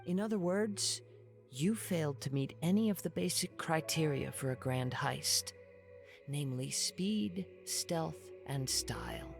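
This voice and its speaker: Calm young wanderer-swordsman for an anime game; poetic, unhurried phrasing, music underneath. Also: on the high side, creaky. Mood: cool, critical. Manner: composed, measured, eloquent. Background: background music.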